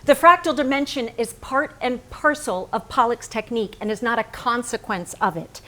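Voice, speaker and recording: High-voiced, cerebral, unflinching painter's wife. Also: female voice, pushed and bright. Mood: analytical. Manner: precise, measured, emphatic. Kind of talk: theatrical staged reading. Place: staged reading in a barn venue.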